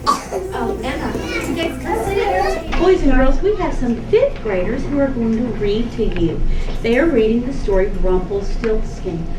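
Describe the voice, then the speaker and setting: A woman's voice: on the high side, animated, a little slow, recorded from a distance. Woman teacher in a very noisy, echoey room. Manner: instructing, addressing children in short directions. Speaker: teacher introducing a children's performance. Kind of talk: simple spoken announcement to young children. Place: indoors at an elementary school.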